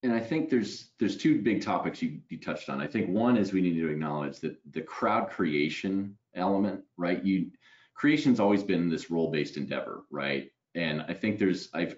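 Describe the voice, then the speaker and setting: A man in his forties hosting a video call interview on a headset, chatting and ending many points with "right?". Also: sits low. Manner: conversational, tags lines with "right?". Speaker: webinar host. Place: home room. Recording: slightly dull video call audio.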